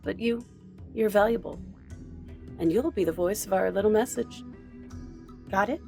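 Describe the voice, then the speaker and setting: A woman's voice: mid-range voice, normal speed, creaky, warm tone, faint music behind, natural voice. A woman playing a smug, mocking supervillain in an audio drama, taunting and theatrical in her delivery. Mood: menacing. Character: smug, mocking female supervillain holding hostages.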